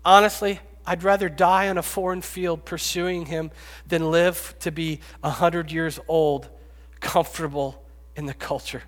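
A man's voice: a little high, fairly even intonation, unhurried, hardly any pauses. Impassioned preacher man in his fifties. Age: 50s. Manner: emotional, swings from loud pleading to hushed whispers. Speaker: pastor. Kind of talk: sermon. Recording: long reverb, echoey hall.

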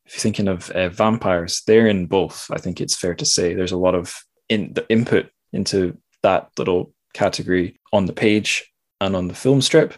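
A man with a deep voice hosts a literary podcast. He talks casually and thinks aloud, circling around his point and poking fun at himself as he goes. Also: moderate pace. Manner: rambling, thinking aloud, self-deprecating. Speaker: podcast host.

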